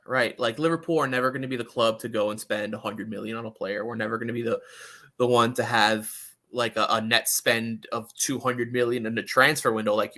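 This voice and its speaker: A man's voice: medium pitch, sharp tone. A man giving opinionated, conversational football analysis in a casual podcast chat. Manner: opinionated, conversational, often checks in with "you know".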